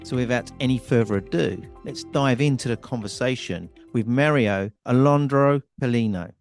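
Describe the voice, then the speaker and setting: A man's voice: mid-range voice, moderate pace, faint music behind. A man hosting an interview podcast, introducing and questioning in a presenter's style. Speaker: podcast host and founder.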